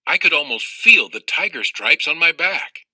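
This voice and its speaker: A man reading a children's novel aloud in an expressive storytelling style. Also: on the high side, normal speed, husky, dry recording. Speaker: audiobook reader of a children's novel.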